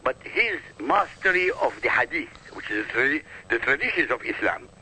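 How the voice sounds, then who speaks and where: A man's voice: mid-range voice, sing-song, normal speed, sharp tone, slight background noise, rough recording. A man speaking as a radio guest, a native Arabic speaker giving polemical commentary in an emphatic, oratorical manner.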